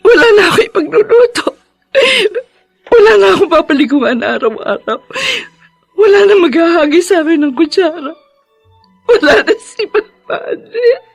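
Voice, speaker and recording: A high-voiced grieving daughter. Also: female voice, very animated, moderate pace, no room sound, soft background music, full character voice. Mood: weeping, desperate. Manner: tearful, pleading. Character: grieving daughter who cared for her sick mother. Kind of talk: Filipino radio-style melodrama acting.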